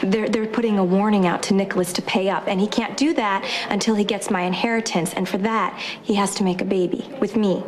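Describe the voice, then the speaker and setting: A woman's voice: mid-range voice, steady tone, a little fast, few pauses, a crowd in the background, close to an everyday voice. A woman playing a bitter, scheming young wife in a soap opera, cutting and sarcastic. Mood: cold, accusing. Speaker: actor in a TV serial drama. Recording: very noisy background.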